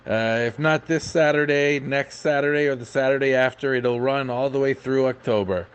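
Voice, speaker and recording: A man's voice: mid-range voice, normal speed, smooth. Chatty, hesitant man giving informal events report. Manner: chatty, hesitant, frequently saying uh; enthusiastic. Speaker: podcast events correspondent.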